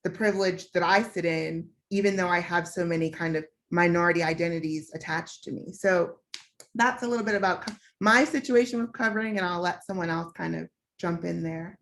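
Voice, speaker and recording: Young American woman, panelist, lower voice, reflective personal storytelling. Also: fairly quick. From United States. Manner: candid, reflective, with frequent "um" fillers.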